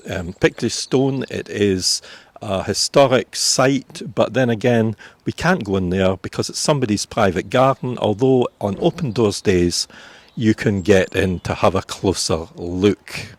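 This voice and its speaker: A Scottish man working as a tour guide, giving an informative, unhurried commentary with frequent fillers while walking outdoors through a village churchyard. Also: on the low side, no echo. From Scotland.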